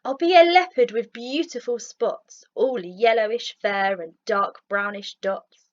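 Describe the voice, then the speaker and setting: A woman's voice: on the high side, a little slow, muffled recording, close to an everyday voice. A woman voicing a glum but hopeful young male rhino in a children's storybook, earnest and plaintive. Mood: excited.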